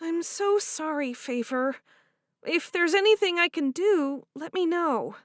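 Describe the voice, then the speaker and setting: A kind, comforting young woman, as a woman reading aloud puts her on, speaking high and slow with a soothing lilt. She reassures warmly through a storybook scene, recorded dry and close. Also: lively intonation, dry recording. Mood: reassuring. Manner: gentle, reassuring.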